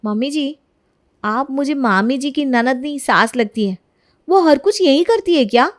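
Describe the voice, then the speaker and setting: A woman's voice: sits high, lively intonation, measured pace, pitched above the performer's own voice. A woman voicing an eager young newlywed daughter-in-law, sweet and coaxing, in an acted storybook tale. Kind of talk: acted Hindi storybook narration.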